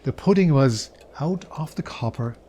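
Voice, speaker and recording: A man giving a dramatic storybook reading of a Victorian Christmas tale, savoring the words with expressive pauses. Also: medium pitch, breathy edge. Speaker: storyteller reading a classic novel aloud.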